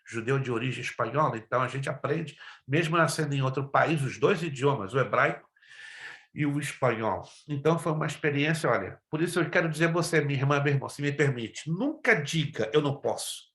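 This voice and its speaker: A man's voice: mid-range voice, brisk, a bit bright. A man from Brazil with Spanish as his native language and a Brazilian accent, teaching like a preacher, emphatic and exhorting, repeating phrases and putting questions to his listeners. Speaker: pastor teaching a theology class. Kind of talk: preacherly lesson with personal testimony. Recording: roomy, echoing sound.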